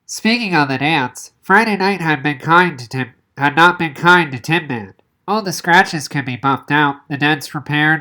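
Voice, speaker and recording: A woman's voice: sits low, bright, forceful tone. A woman reading a children's novel aloud as narration. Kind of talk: audiobook-style read-aloud narration.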